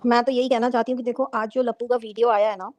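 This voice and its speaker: A woman's voice: on the high side, little pitch movement, quick pace, a little mellow. A woman giving casual reaction commentary on a panel, explaining things colloquially with rhetorical questions.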